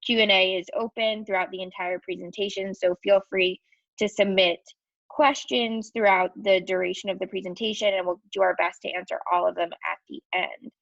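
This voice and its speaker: A woman with a gravelly, fry-tinged voice opens a webinar briskly. The video-call audio is dry and dull. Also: mid-range voice, steady tone, fairly bright tone, no echo. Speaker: webinar host. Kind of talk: webinar hosting.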